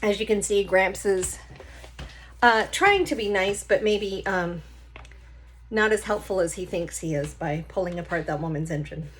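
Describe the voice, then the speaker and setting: A woman's voice: mid-range voice, normal speed, slight background noise, low-quality recording. A woman reading a children's novel aloud like a teacher, with short explanatory asides.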